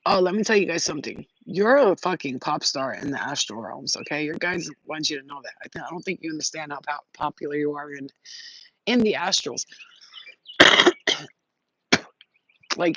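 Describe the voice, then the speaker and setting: A man talks loosely to his live-stream viewers, giving them spiritual readings. He drifts from story to story in a rambling, excitable way and swears freely. His voice sits a little higher than most men's. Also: dull audio. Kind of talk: casual live-stream chat and intuitive reading. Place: outdoors near trees.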